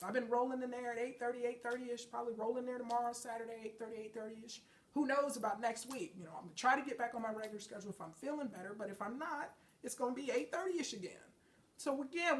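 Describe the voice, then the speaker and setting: Woman fitness coach, quick and a bit high, preachy and emphatic, congested, a little off-mic. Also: recorded from a distance. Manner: emphatic, preachy, keeps checking in with "okay?" and "folks". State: congested from a chest and sinus infection. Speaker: fitness trainer and motivational speaker. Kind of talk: motivational talk to camera.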